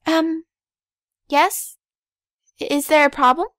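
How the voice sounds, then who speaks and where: A woman's voice: high voice, fairly even intonation, takes it slowly, fairly bright tone, no echo, studio quality. A woman playing a timid, sweet young girl in an audio drama, speaking with nervous hesitation.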